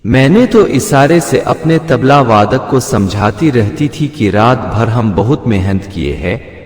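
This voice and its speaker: A man's voice: medium pitch, darkish tone. A man voicing a humble female court dancer, deferential and formal, in a storybook tale. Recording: echoey hall-like reverb.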